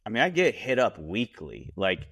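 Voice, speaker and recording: A man's voice: on the low side, wildly swooping pitch, normal speed, vocal fry, fairly bright tone, slightly rough. A man hosting a podcast, a chef talking in a blunt, casual way that is full of swearing and repeated words. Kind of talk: casual chat. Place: Los Angeles.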